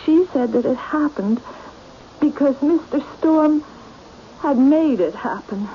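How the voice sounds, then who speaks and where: A timid, anxious woman in an old radio mystery. Her voice is high and halting, doubling back on words as she hesitates. The sound is muffled and vintage. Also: lively intonation. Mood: worried, confiding. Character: anxious, naive, hesitant woman, easily dominated. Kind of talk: radio mystery drama acting. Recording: dull old radio recording, faint noise.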